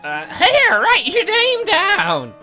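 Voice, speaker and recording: Man putting on a squeaky, sweet schoolgirl. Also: huge pitch swings, takes it slowly, sharp tone, faint music behind, full character voice. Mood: eager. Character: shy, sweet high-pitched schoolgirl classmate.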